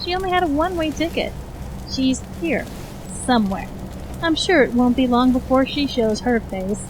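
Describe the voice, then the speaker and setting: A woman's voice: high voice, expressive, normal speed, noisy background. A woman playing a sly, giddy young female mage who gloats, in an audio drama. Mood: confident. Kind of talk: audio drama character acting.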